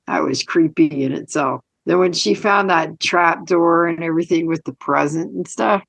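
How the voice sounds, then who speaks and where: Female co-host, lower-pitched and husky, dry recording. Informal, casual review conversation. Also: normal speed, dry recording. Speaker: podcast co-host. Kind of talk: casual podcast discussion.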